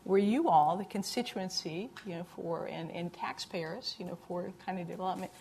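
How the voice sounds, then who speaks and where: A woman on a conference panel speaks earnestly in a lower voice, circling back over her words as she builds a point. A faint room ambience sits under her. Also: moderate pace, gravelly, a little mellow, a little hiss or hum. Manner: earnest, many repeated words. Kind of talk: panel discussion answer.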